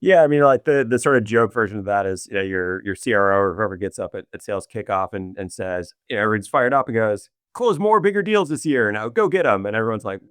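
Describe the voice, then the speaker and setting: A man being interviewed as a business executive, explaining his views in an animated way with many restarts. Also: fairly low pitch, fast talker, few pauses, no echo, studio quality. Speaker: guest, revenue operations executive. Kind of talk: casual interview chat.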